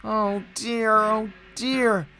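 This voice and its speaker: A man's voice: extremely high pitch, normal speed, sharp tone, pitched above the performer's own voice, exaggerated voice. A man voicing an earnest male steam engine in a children's storybook, repeating his phrases emphatically. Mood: miserable. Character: proud red steam engine, male, plaintive then determined.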